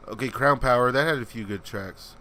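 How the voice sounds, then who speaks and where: A man chats casually on his livestream, reading viewer comments aloud and answering them in a relaxed, rambling way. His voice is bright and forward, sitting at an ordinary pitch, and people describe it as soothing. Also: a little hiss or hum. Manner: laid-back, rambling, answers chat comments. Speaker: channel host reading live chat.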